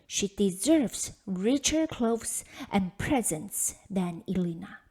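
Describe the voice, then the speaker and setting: A woman's voice: mid-range voice, animated, slow pace, dark tone, slightly rough. A woman voicing a cruel stepmother from a folktale, commanding and scornful. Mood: indignant.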